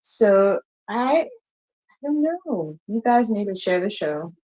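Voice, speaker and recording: A Liberian woman hosting a casual live chat, telling stories in a relaxed way with colloquial slang and frequent laughter. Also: slow pace. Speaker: host. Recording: muffled low-fidelity stream audio.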